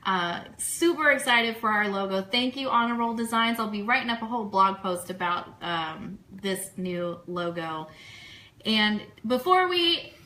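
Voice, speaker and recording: A chatty, enthusiastic woman co-hosting a casual livestream radio show, reading out requests and shout-outs. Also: mid-range voice, expressive, measured pace. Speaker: co-host of an online fan radio show. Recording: roomy echo, clear background noise.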